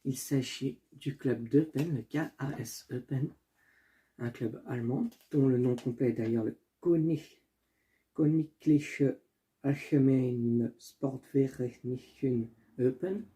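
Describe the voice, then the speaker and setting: A man's voice: medium pitch, slow, dark tone. A young man hosting a casual solo football video, thinking aloud and often stopping to correct himself. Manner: talks as he thinks, with restarts and self-corrections; stumbles over names and apologizes.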